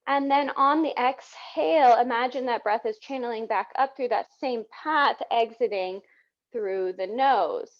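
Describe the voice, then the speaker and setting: A woman teaching yoga, guiding breathing in a soothing, gentle manner with unhurried phrasing. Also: on the high side. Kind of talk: guided yoga breathing instruction. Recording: dull, muffled lo-fi sound.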